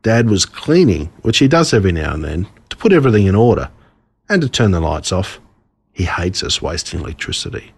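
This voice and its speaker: Husky Australian man, animated storyteller. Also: low voice, brisk. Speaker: author reading his own stories. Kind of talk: scripted storytelling narration.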